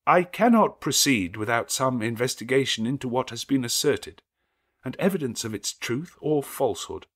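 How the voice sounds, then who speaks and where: A man voicing a solemn, earnest clergyman in an audiobook, declaiming in a formal, measured and ceremonious manner. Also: medium pitch, lively intonation, fairly bright tone, voice pushed up. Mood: troubled, formal. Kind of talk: audiobook character acting.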